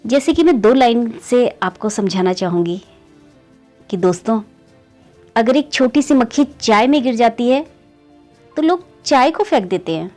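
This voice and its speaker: A woman narrating a moral story in a conversational way, often addressing listeners as friends and lifting her voice at each new turn of the tale. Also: darkish tone. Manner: keeps calling listeners "friends", pitch rising on story beats.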